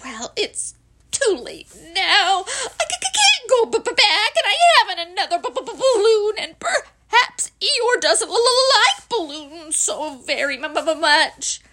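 A woman voicing a small, timid, anxious young male piglet with a squeaky voice and a fretful stammer. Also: sing-song, a little slow, bright, forceful tone, heavily acted. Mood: tearful dismay.

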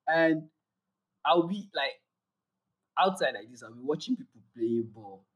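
A young man, chatting casually and telling stories in a relaxed way, laughing along. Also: fairly high pitch, a little slow, recorded from a distance, low-quality recording. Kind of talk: casual chat.

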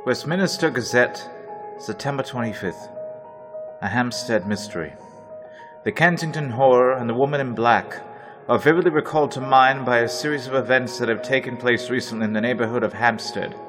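A man narrating a classic radio drama, reading journal entries in a measured, low voice. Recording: long reverb, faint music.

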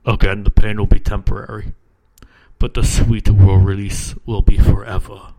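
A man's voice: lowish voice, flat delivery, very noisy, pitched below the performer's own voice, lightly acted. A man voicing a towering robed cult leader who speaks coolly and commandingly, as part of a horror story he reads aloud. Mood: cold menace. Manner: cool, measured, ominous.

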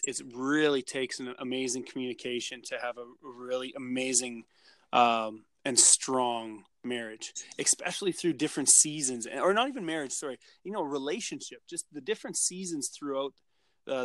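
A man's voice: medium pitch, normal speed, fairly bright tone, no room sound. A man co-hosting a casual podcast, his voice in a middle range. He rambles and restarts as he thinks aloud. The recording is dry and close. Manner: rambling, many restarts and fillers. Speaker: podcast co-host.

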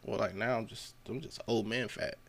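A man in his thirties, a comedian chatting casually and telling animated stories. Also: moderate pace, vocal fry. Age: 30s. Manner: animated storyteller, jumps high into character voices. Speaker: comedian guest. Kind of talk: casual chat.

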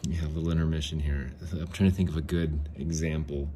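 A man hosting a casual solo podcast, rambling and self-mocking, clearing his throat often and answering his own questions. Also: a bass voice, flat delivery, fairly quick, mellow, slight background noise. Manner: frequent throat clearing and restarts. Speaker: podcast host.

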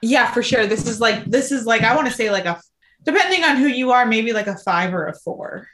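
A woman's voice: a little high, animated, brisk, fairly bright tone. A woman talking casually as a player in a tabletop game. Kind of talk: casual chat.

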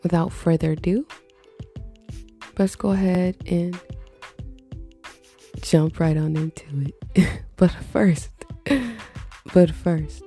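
Stuffy, low-voiced woman podcaster. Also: fairly even intonation, slow, pauses often, mellow, faint music behind. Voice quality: low husky morning voice. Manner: rambling, laughs often, self-mocking. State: congested, stuffy. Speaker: podcast host. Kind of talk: casual solo podcast chat.

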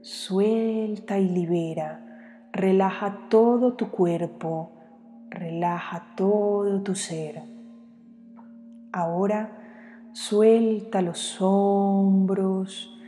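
A woman leads a guided meditation in a calm, soothing manner, leaving long pauses between short phrases. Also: medium pitch. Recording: long reverb, music behind. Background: music.

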